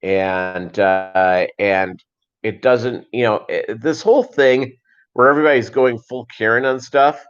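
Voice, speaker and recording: A man hosting a casual livestream, chatting and answering viewers in a rambling, halting, conversational way. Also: medium pitch, clean tone. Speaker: livestream host. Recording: dull, lo-fi livestream audio.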